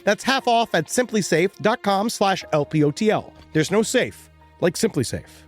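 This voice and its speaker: A podcast host reading sponsor ads in a playful, jokey manner with silly tangents. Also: male voice, high-pitched, expressive, moderate pace, soft background music. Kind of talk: playful ad read.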